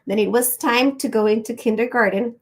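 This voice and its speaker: A woman's voice: on the high side, fairly quick. A middle-aged woman from Rica, hosting a live educational webinar. She talks in a friendly, personal way, telling stories with frequent ums. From Costa Rica.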